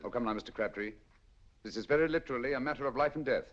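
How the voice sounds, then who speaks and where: An actor playing a commanding, middle-aged master detective with crisp, precise diction, in 1940s film style. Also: male voice, medium pitch, very fast, fairly bright tone, close to an everyday voice. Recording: dull lo-fi 1940s film sound.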